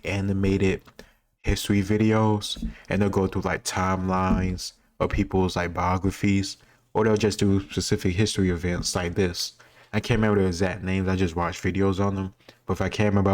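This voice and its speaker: A man giving casual commentary on a history quiz, thinking aloud in an informal, rambling way. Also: sits low. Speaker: host reacting to a history quiz video.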